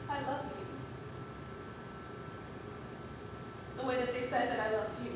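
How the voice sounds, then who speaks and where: An actress playing an anguished woman confessing forbidden love in a serious stage drama, earnest, halting and intense. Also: extremely high pitch. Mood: anguished confession. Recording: very echoey room, distant mic, noisy.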